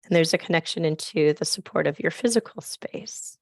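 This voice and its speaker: A woman with a scratchy, raspy voice, softly leading a slow grounding meditation on a video call. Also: low voice, no room sound. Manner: soft, slow, gently inviting. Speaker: program facilitator.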